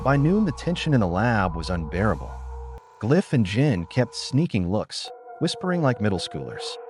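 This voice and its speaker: A man's voice: on the low side, sing-song, moderate pace, a little mellow, faint music behind. A man narrating a scripted first-person story in a dramatized audiobook manner.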